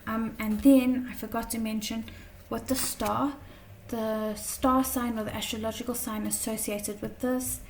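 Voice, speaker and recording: A woman teaching a tarot lesson in a chatty, casual way, her voice slightly croaky from a long day of talking, often checking in with "okay?". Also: on the high side, flat delivery, measured pace, hardly any pauses, darkish tone, distant mic, a little hiss or hum, poor audio. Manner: chatty, many fillers and "okay?" check-ins.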